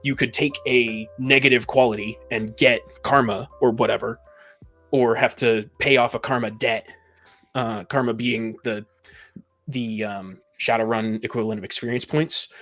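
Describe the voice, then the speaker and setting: A man in a casual podcast debate, laying out his opinions in a deliberate way. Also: mid-range voice, steady tone, sharp tone, faint music behind. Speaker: podcast speaker on tabletop gaming.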